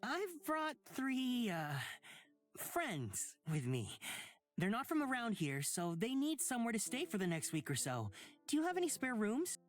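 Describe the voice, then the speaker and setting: A woman's voice: on the high side, wildly swooping pitch, measured pace. A woman voicing a breezy, sardonic rich young man in a visual novel game. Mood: upbeat, casual. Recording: music under the voice. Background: game music.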